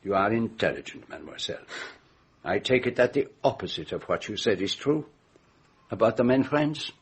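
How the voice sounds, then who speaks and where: An actor playing an older, self-assured Belgian detective with a French-speaking accent, formal, precise and deliberate in a radio drama. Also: male voice, lowish voice, lively intonation, slightly rough, heavily acted. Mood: courteous, insistent. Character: courteous, shrewd, self-assured older foreign male detective.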